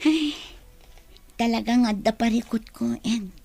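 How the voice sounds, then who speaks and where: High-voiced troubled young student acted by a woman. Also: unhurried, vocal fry, mellow, faint music behind. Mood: anxious. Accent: Ilocano. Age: young adult. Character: troubled young female student under family pressure. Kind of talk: Ilocano radio drama acting.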